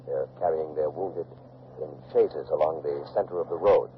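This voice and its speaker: Muffled noisy old radio recording, low-pitched American male correspondent, grave eyewitness battlefield report, 1940s radio drama. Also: moderate pace. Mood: hushed, watchful. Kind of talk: 1940s radio drama, live news commentary.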